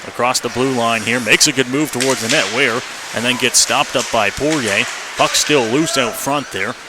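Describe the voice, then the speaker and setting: A man's voice: medium pitch, moderate pace. A man doing live radio play-by-play of an ice hockey game in clipped, running phrases, as the announcer. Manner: clipped running phrases, rising to excited calls on shots. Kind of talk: live radio sports commentary. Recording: noisy live arena radio feed.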